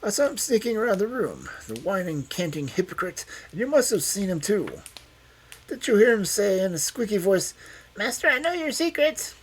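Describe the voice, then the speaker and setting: A man voicing an agitated, feeble-minded old man who breaks into wild, excitable and cunning outbursts. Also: very high-pitched, normal speed, hardly any pauses, pitched above the performer's own voice.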